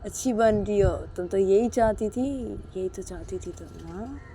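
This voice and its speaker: A woman's voice: medium pitch, normal speed, warm tone, slight background noise, natural voice. A woman voicing a resentful middle-aged mother in an audio drama, sarcastic, taunting and repeating her accusations.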